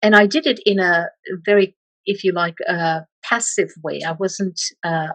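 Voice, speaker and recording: A middle-aged woman from Malaysia, a meditation teacher speaking as an interview guest, slow and hesitant with many pauses and restarts. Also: on the low side, dry recording, dull audio. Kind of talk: reflective interview talk.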